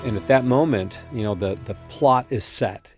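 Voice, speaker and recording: A man's voice: mid-range voice, normal speed. A man talking earnestly in an interview, explaining a dramatic story. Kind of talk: promotional interview talk about a drama. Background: music.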